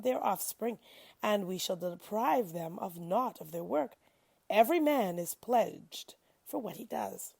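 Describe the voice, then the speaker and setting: Woman reading scripture out loud, raspy w some fry, expressive n measured, dry sound. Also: medium pitch, dry recording. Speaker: reader of scripture.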